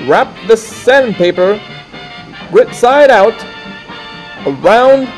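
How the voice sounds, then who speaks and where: A man narrating a scripted how-to film, giving step-by-step instructions in a deliberate, folksy way. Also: high voice, lively intonation, low-quality recording. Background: instrumental music.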